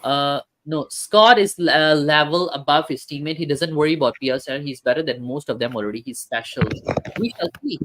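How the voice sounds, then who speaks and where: A man's voice: a little high, animated, fairly quick, noisy background. A man hosting a casual football talk stream, chatting with viewers and reading comments, hesitant with many fillers and restarts.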